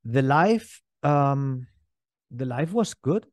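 A man's voice: medium pitch, animated, very slow, no room sound. Man, dry close sound. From Spain. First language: not English. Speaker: podcast guest, entrepreneur. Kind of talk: casual interview storytelling.

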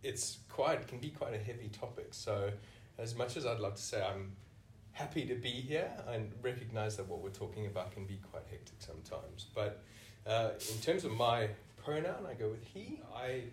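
A man's voice: medium pitch, expressive, moderate pace, hardly any pauses, distant mic, a little hiss or hum. A man with a South African accent, a senior clinician answering interview questions thoughtfully, often restarting with "you know". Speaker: senior clinician, podcast guest. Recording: echoey room, voice off-mic.

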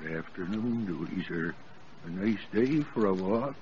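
Elderly English West Country countryman, low, rustic and kindly, old radio drama with dull, noisy sound. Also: expressive, measured pace, darkish tone. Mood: kindly, wistful. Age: older. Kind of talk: 1940s radio drama acting.

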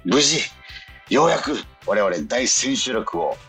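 A man's voice: mid-range voice, animated, takes it slowly, gravelly, fairly bright tone. A man hosting a casual radio chat, often chiming in with agreeing interjections. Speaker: radio show host; stage actor. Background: background music.

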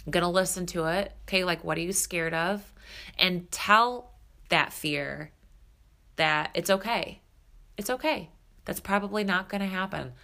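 A woman's voice: on the low side, unhurried, vocal fry, bright, forceful tone. A woman in her thirties hosting her own podcast, talking candidly and casually with lots of fillers, a little stuffed up and nasal. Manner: chatty, candid, filler-heavy. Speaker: podcast host and spiritual coach. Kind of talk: solo podcast monologue.

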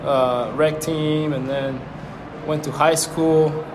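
A man's voice: a little high, steady tone, slow pace. A young man from Cuba whose first language is Spanish, speaking as an interview guest in a reflective way and searching for his words. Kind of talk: casual conversation. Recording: roomy with background noise.

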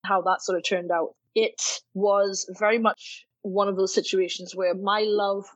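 A woman from Trinidad shares her life story as an interview guest, reflective and earnest, leaning on soft fillers as she thinks aloud. Her voice sits at a middle pitch. It comes through a slightly dull, dry recording. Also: flat delivery, measured pace, no echo. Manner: reflective and earnest, many "you know" and "kind of" fillers. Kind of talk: candid personal storytelling.

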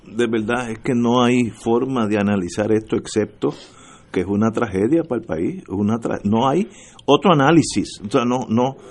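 An impassioned male radio host with a Puerto Rican accent gives political commentary. His voice is husky and mid-pitched. He speaks with emphasis, repeating short phrases to drive the point. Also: moderate pace. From Puerto Rico. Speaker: radio talk show host.

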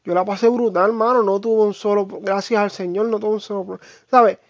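Puerto Rican vlogger, informal and opinionated, halting slangy delivery, close dry sound with muffled lo-fi quality. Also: mid-range voice, steady tone, no echo. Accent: Puerto Rican Spanish. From Puerto Rico. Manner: informal, halting, peppered with slang and English fillers. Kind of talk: casual, opinionated monologue to viewers.

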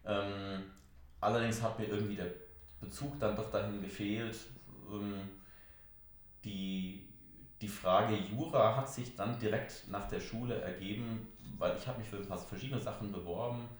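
Male interviewee, middle-aged. Strong room echo, deep register, thoughtful and hesitant conversational delivery. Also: fairly even intonation. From Germany, near Mainz. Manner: halting, reflective, with frequent hesitations. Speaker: interviewee, member of parliament and IT entrepreneur. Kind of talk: conversational interview answers, recounting own career. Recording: very echoey, reverberant room.

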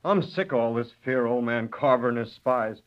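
A man's voice: mid-range voice, normal speed, lightly acted. Man as an angry mill worker, rural drawl, dull old radio recording. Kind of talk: 1930s radio drama acting.

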